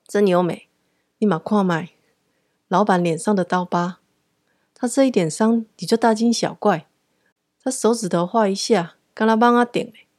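A woman voicing a blunt, gruff male drinking regular with a Taiwanese accent in a radio drama, cool and colloquial. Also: medium pitch, normal speed, long pauses, darkish tone, dry recording, studio quality, lightly acted. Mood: scornful.

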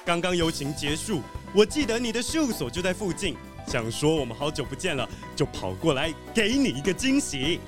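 A friendly wolf diplomat, lightish voice, really animated and keen, kids audio story, faint music. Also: male voice, on the high side, a little fast, hardly any pauses. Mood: glad. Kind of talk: children's detective audio drama.

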